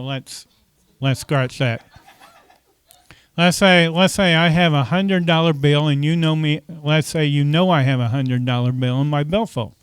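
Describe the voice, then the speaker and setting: A man's voice: fairly high pitch, clean tone, no room sound. A man preaching a conversational sermon as pastor, often asking the congregation questions and saying "okay" between points.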